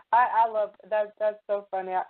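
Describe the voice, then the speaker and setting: A woman hosts a relaxed, informal interview in a mid-range voice. Her audio is narrow and lo-fi, like a telephone line. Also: medium pitch. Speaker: host interviewer. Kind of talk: casual chat interview.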